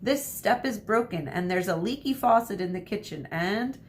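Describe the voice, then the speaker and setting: A fond, house-proud mother from a storybook voiced by a woman reading aloud. Her manner is lightly scolding and affectionate. The recording carries faint noise and rumble. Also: lively intonation, normal speed, natural voice. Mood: mock scolding. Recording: noisy with low rumble.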